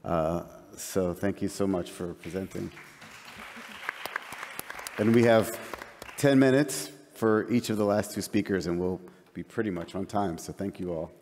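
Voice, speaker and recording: A man's voice: deep voice, unhurried, darkish tone, a faint crowd, slight background noise. A man moderating a panel, politely introducing the next speaker and keeping time. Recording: hall with audience.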